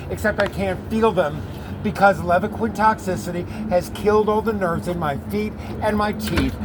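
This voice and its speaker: A man outdoors pleading urgently and swearing often while talking to an outreach worker. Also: sits high. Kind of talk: unscripted street conversation. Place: outdoors on a city street. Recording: street noise, traffic outdoors.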